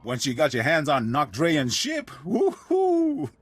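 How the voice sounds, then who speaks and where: An actor playing a mocking, excitable male small-time crook in a video game. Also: on the high side, slow, no echo, soft background music, exaggerated voice. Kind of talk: video game cutscene acting.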